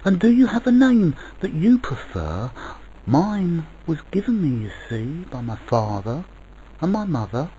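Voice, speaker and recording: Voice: medium pitch, big pitch swings, unhurried, mellow, highs cut off, slight background noise, pitched above the performer's own voice. A storyteller voicing an eager, wondering young girl from a dream tale, asking questions in a plaintive, insistent manner. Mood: curious.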